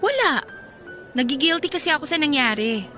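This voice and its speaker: A woman's voice: sits high, very animated, brisk, pushed and bright, faint music behind, full character voice. A woman playing a pushy, scheming bride-to-be and stepsister in a Filipino radio drama, wheedling and insistent. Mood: pleading.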